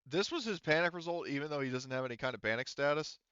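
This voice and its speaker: Bright, clear-voiced man running a tabletop game. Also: a little high, animated, fast, no room sound, muffled recording. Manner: casual, swears freely. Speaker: game master.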